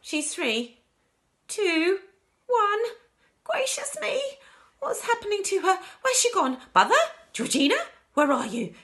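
A woman's voice: fairly low pitch, animated, unhurried, a bit bright. A woman reading a storybook, voicing a panicked grown daughter who wails and exclaims shrilly. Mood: frantic alarm. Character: frantic, wailing grown daughter, a panicked mother.

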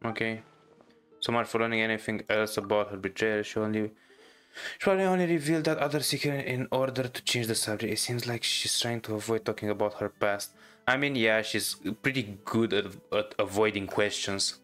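A man's voice: fairly low pitch, moderate pace, fairly bright tone, faint music behind. Amused man commenting on a game. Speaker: gamer reacting on video. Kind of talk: casual game playthrough commentary, reading dialogue aloud.

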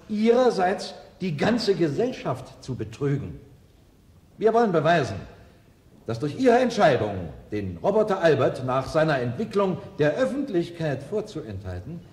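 Eloquent defence lawyer, lively echoing voice. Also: male voice, a little high. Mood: insistent, formal. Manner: formal, rhetorical, insistent. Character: eloquent, combative defence lawyer in a science fiction trial. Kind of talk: 1970s German radio play acting. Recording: echoey reverberant hall, old radio production.

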